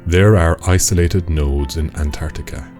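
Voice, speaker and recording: A man's voice: rumbling low, normal speed, dark tone, faint music behind. A man acting in a radio play as a stern, formal imp, a pedantic and commanding taskmaster. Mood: businesslike, formal. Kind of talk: radio drama dialogue.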